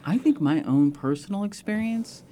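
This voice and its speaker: A woman guest on a radio interview, co-founder of a nonprofit, explaining its programs in a measured way. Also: medium pitch, expressive, mellow, slight background noise.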